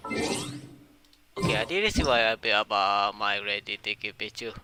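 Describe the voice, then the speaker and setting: A man live-streaming a game in casual, halting, fragmented non-native English. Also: on the low side, lively intonation, music in the background. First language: not English. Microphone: uncovered microphone that picks up breath blows. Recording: noisy stream mic with breath pops.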